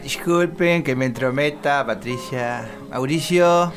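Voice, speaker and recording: A man's voice: medium pitch, slow pace, soft background music, lightly acted. A man playing an obsequious, boastful cabinet chief in Argentine radio satire, polite and insistent, piling up claims. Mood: eager boasting. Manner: polite, insistent, list-making. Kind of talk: satirical radio drama acting.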